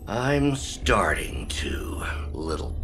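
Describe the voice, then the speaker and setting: An actor playing a pompous, mocking scientist villain in a video game cutscene. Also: male voice, fairly low pitch, very animated, drawn-out words, music in the background, heavily acted. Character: smug, theatrical, menacing male scientist villain.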